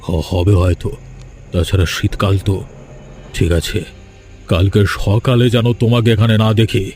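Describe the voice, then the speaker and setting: A man's voice: lowish voice, flat delivery, measured pace, a little mellow, lightly acted. A man voicing a proud, domineering village landlord and father in a cartoon, blustering and repeating himself. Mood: stern.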